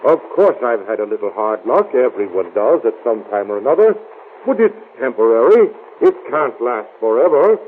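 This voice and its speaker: Animated, flustered American gem dealer. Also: male voice, medium pitch, moderate pace, breathy edge. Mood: indignant, dismissive. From United States. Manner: flustered, hesitant, exclamatory. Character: prosperous American gem dealer abroad, stubborn, breezily trusting. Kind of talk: old-time radio drama acting. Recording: tinny, noisy old radio recording.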